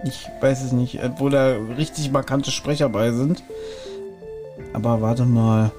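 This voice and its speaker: A man co-hosting a casual podcast, thinking aloud in quick bursts while he guesses. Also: mid-range voice, fairly even intonation, over music. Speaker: podcast co-host guessing episodes.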